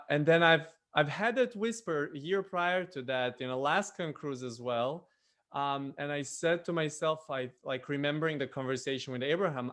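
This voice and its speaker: Serbian guy on a zoom call, slightly high voice, lots of ums and restarts, chatty. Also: fairly bright tone. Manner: hesitant, many fillers and restarts. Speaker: presenter on a spiritual show. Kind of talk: casual personal storytelling. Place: video call. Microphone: computer mic on a video call.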